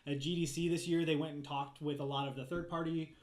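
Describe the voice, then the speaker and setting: Man, slightly high voice, casual podcast co-host, conversational gaming news chat. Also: steady tone, quick pace, reverberant. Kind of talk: casual panel chat about game news.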